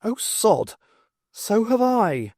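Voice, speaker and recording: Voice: medium pitch, big pitch swings, extremely slow, a little mellow, no echo, clean studio sound, pitched above the performer's own voice. A reader voicing a flustered, sardonic woman adventurer who is sarcastic and prone to exclamations. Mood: defiant.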